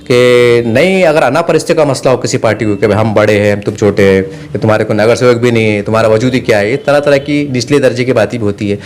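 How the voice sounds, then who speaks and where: A man's voice: medium pitch, fast talker, hardly any pauses, slight background noise. A man asking long, insistent, discursive questions as a news interviewer.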